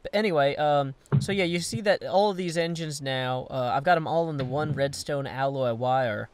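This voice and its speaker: Lively man chatting over a game. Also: a little high, moderate pace, crowd noise. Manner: rambling, thinks aloud while building. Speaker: game player. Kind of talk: casual gameplay chat.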